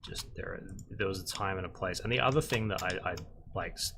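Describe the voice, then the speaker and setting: Aussie guy rambling on his art stream, low flat voice, bit of fry, noisy recording with keyboard clicks. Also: fairly quick. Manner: monotone, rambling with many fillers. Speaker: concept artist and art instructor. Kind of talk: casual chat while working on a livestream.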